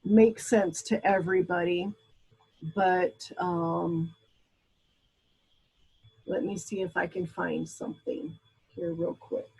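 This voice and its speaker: A woman's voice: fairly low pitch, little pitch movement, takes it slowly, creaky, long pauses, a little mellow, breathy edge, poor audio. A woman from Brazil teaching a class in a casual, chatty lecture style, with frequent ums and asides. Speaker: instructor.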